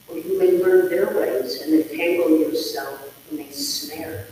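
A woman reading scripture aloud as a church lector, formal, careful and expressive. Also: on the low side. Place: large reverberant church. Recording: very echoey hall, distant, faint noise.

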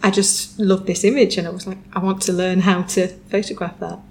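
A woman's voice: lowish voice, little pitch movement, brisk. Hesitant woman. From Manchester, England. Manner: hesitant, many ums. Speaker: prize-winning photographer guest. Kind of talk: casual interview answers.

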